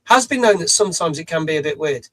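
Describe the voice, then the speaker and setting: High-pitched, rough-voiced man. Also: expressive, fast, sharp tone. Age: middle-aged. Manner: chatty, reads out chat, swears casually. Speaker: live stream host. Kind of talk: casual live chat, greeting viewers. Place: small home studio.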